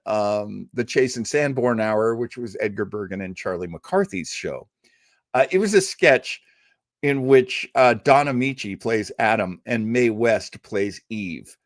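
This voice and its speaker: Male radio-show host, mid-pitched and animated, conversational, emphatic, given to rambling asides. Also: dry recording, studio quality. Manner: enthusiastic, emphatic. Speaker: host of an old-time radio listening show. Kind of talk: casual, chatty introduction to a radio show.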